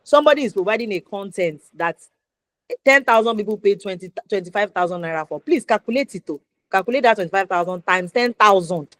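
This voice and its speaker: A woman's voice: mid-range voice, lively intonation, fairly quick, fairly bright tone, dry recording. Nigerian woman, an emphatic interview host. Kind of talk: conversational interview with summarising advice.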